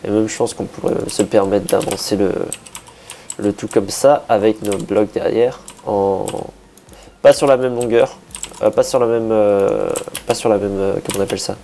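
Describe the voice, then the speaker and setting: Hoarse man thinking aloud while building. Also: fairly low pitch, steady tone, moderate pace, warm tone, slight background noise. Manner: thinking aloud, many hesitations and restarts. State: hoarse, a bit sick. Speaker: Minecraft builder. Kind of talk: casual building commentary.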